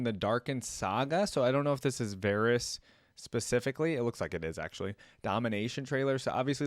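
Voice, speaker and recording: A man's voice: medium pitch, clear, resonant tone, no room sound, clean studio sound. A man hosting a reaction video with casual, off-the-cuff commentary, often tentative as he thinks aloud.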